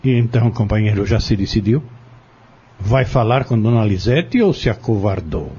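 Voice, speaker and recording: A man's voice: lowish voice, lively intonation, normal speed, dark tone, breathy edge, dull audio. An actor in an audio drama playing an insistent, scheming man who goads and persuades in formal wording, bent on exposing a corrupt family. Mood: goading.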